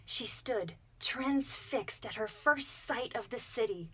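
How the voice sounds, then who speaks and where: A young adult woman, a voice actor, reading a children's folktale aloud in a gentle, expressive storytelling manner. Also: a little high, slow, slightly rough.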